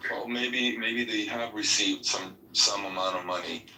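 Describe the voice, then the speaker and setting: A man's voice: lowish voice, a little slow, sharp tone. A man arguing assertively in a heated debate, with frequent restarts, recorded from a distance in an echoey space. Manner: assertive, argumentative, with many restarts. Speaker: debate participant. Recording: echoey room, distant from mic, faint noise.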